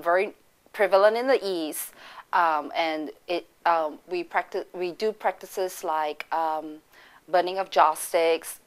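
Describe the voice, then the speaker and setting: A woman with a voice pitched a little low for a woman. She tells her personal faith story as a church member, earnest and reflective, pausing often to gather her thoughts. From Singapore. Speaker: church member giving testimony.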